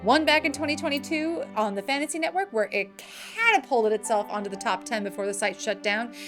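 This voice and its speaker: A woman's voice: a little high, lively intonation, brisk, music in the background. A woman hosting a scripted film news show, with playful, emphatic delivery and slangy asides. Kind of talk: scripted entertainment news narration.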